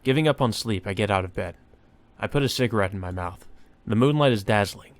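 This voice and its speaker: A man's voice: on the low side, fairly quick. A man hosting a Let's Play, reading a visual novel aloud with casual commentary.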